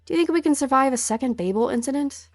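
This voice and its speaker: A woman's voice: sits high, fast, no echo. A woman in a fan dub of a game, playing an earnest, defiant young mercenary with an intense, insistent delivery. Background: music.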